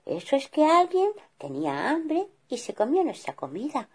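A woman's voice: mid-range voice, huge pitch swings, takes it slowly, husky, voice pushed up, exaggerated voice. A woman voicing a kind mama bear who coaxes and soothes. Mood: soothing. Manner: coaxing, gently firm.

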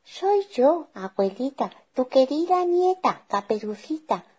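A woman voicing a wicked storybook wolf who imitates a little girl in a coaxing, falsely sweet falsetto. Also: sing-song, a little slow, warm tone. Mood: coaxing, deceitful.

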